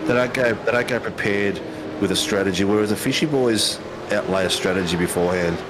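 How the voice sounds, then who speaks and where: A man's voice: lowish voice, brisk, background noise. An Australian man commentating a sim race in a casual, matey way, with plenty of ums and uhs.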